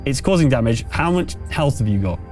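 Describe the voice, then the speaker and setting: A man's voice: mid-range voice, animated, brisk. A man narrating a tabletop horror game as game master, talking players through rules and outcomes in a conversational way. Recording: faint music underneath. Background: music.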